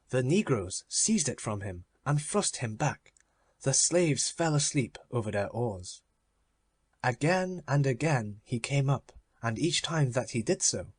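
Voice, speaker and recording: A man reading a literary children's story as a measured audiobook narration. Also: mid-range voice, breathy edge, no room sound.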